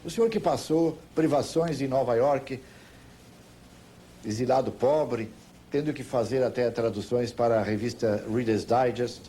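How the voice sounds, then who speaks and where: Older Brazilian male, veteran TV interviewer. Mellow, deliberate storyteller, emphatic phrasing, slight noise on an old tape. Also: medium pitch. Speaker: veteran television political interviewer. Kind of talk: reminiscing, recounting a story.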